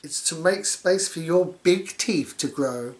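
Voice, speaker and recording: A man voicing an excitable, eager little boy from a children's storybook. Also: fairly high pitch, measured pace, natural voice. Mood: explaining, eager.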